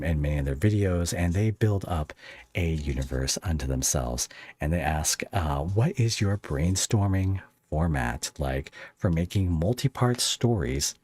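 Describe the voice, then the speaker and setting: Low-voiced man hosting a casual podcast. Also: darkish tone, dry recording. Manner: halting, rambling, thinking aloud. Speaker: podcast host and interviewer. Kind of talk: casual interview, reading listener questions.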